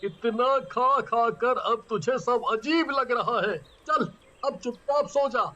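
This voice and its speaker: A man voicing an excitable young traveller in a cartoon story, with exclamatory delivery. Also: very high voice, slow, heavily acted. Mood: puzzled. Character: excitable young male friends on a night journey.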